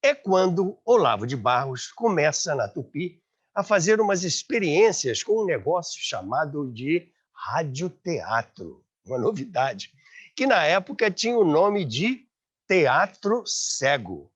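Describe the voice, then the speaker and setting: A man's voice: on the high side, big pitch swings, measured pace, slightly rough. A Brazilian man hosting and narrating a scripted documentary, with emphatic, dramatic phrasing. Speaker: host and narrator of a radio history show. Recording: slightly dull audio with background music.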